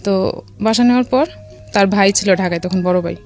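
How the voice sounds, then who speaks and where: Fast-talking Bangladeshi woman telling her story. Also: medium pitch, darkish tone. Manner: conversational, mixes in some English words. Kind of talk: personal storytelling in a radio interview. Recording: music behind the voice. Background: background music.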